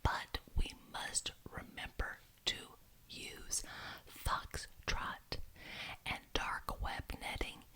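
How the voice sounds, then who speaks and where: A woman whispering breathily in an ASMR roleplay as a polite, officious witch addressing a meeting, deliberately repeating some words. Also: sharp tone. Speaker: ASMR roleplayer as head of a witches' homeowners association.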